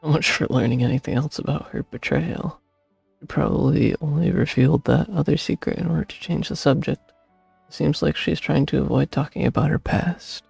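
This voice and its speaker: A man's voice: a little high, fairly even intonation, a little fast, vocal fry, a little mellow, slightly rough, dry recording. A man streaming a video game and musing casually over its dialogue. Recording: dry close sound over game music.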